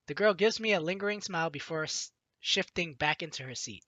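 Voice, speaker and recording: Low-voiced, expressive woman playing through a game. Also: brisk, no room sound, dull audio. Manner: playful, expressive dramatic reading. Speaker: gaming video host. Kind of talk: reading visual novel lines aloud, playthrough commentary. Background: game music.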